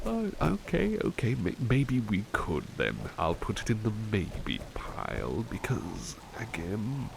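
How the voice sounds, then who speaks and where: A man's voice: fairly low pitch, huge pitch swings, takes it slowly, few pauses, full character voice. A man playing a devoted, mild-mannered butler in an ASMR roleplay, speaking politely and formally, with hesitant, musing phrasing. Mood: pleased, bashful. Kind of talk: ASMR roleplay, personal attention. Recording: clearly noisy ambience behind voice.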